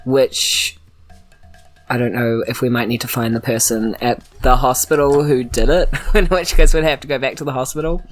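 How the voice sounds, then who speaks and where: Low-voiced New Zealand woman, faint music. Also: steady tone, normal speed. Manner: blunt, informal. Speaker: podcast player in a tabletop game. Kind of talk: casual improvised role-play. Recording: music bed under the voice.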